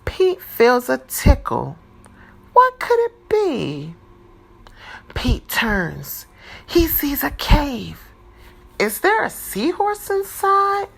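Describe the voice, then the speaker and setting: Slow, animated woman reading a storybook. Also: high voice, creaky. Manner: deliberate, animated, dramatizing for young children. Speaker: teacher reading a picture book aloud. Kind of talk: expressive children's storybook read-aloud.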